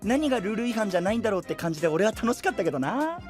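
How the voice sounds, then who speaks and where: Excitable, animated boyish young knight. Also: male voice, high-pitched, rapid-fire. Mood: friendly, amused. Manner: boisterous, blunt, casual boyish speech. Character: excitable, naive, good-natured country-boy young knight. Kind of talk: Japanese anime audio drama acting. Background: background music.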